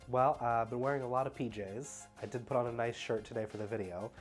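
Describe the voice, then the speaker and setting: A young man with a stuffy nose, talking casually at home in a rambling, halting way full of fillers. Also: mid-range voice, steady tone, soft background music. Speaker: travel vlogger. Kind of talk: casual vlog Q&A.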